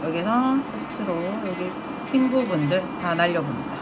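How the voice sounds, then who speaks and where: A woman's voice: on the low side, animated, moderate pace, very noisy. A woman nail technician with a regional Korean dialect, explaining a drill demo in chatty, explanatory talk. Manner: chatty, explanatory, soft-spoken while working.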